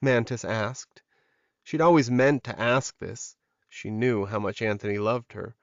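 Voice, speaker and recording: A man's voice: medium pitch, measured pace, no room sound, highs cut off. A man narrating a scripted, dramatic fantasy romance audiobook.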